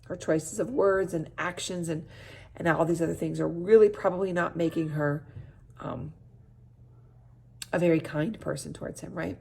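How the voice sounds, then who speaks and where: A woman teacher with a slightly low voice reads a children's book aloud. She is expressive and chatty, often checking in with her young listeners through questions. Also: creaky. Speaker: teacher reading aloud to a class.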